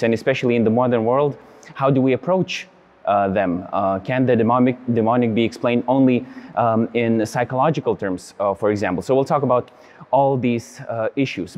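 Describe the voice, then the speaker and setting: A young man from Lithuania, a native Lithuanian speaker, hosting an interview in English with many pauses and fillers, seated in a large church. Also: medium pitch, a little mellow. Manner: friendly, hesitant with many fillers. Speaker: host. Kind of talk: interview host intro and questions.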